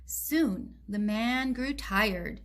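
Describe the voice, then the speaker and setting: Slow-paced woman reading a picture book. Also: fairly high pitch. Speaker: children's story reader. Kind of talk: picture-book read-aloud with asides.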